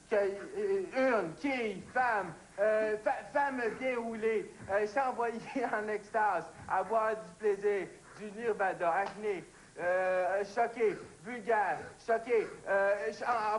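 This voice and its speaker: A man playing a flustered, rambling game show contestant who blurts halting guesses with a Quebec French accent. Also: high voice, a little hiss or hum, rough recording.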